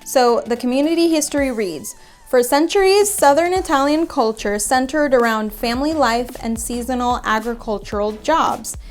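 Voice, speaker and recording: A woman's voice: sits high, animated, moderate pace, faint music behind. A young woman from Puerto Rico, chatting casually and thinking aloud as she reads out her test results. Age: young adult. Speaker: vlogger. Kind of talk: casual reading and commentary on DNA results.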